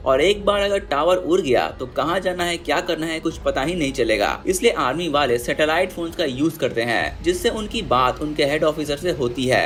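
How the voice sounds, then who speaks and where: A man's voice: on the high side, a bit bright. A man with an Indian accent narrating a scripted explainer, addressing viewers as friends and mixing in English terms. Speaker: explainer video host.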